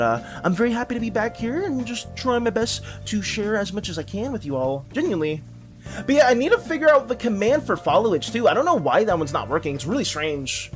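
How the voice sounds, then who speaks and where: A man livestreaming in casual chat, rambling and stammering as he thanks viewers, with a slightly hoarse, dry voice. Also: a little high. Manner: rambling, stammering, repeats words; reads chat messages aloud and thanks viewers.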